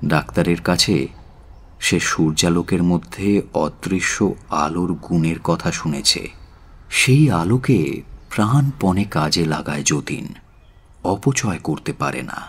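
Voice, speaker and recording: A man narrating a literary audio story in a measured, solemn and dramatic way. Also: low-pitched, animated, slightly rough. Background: faint music.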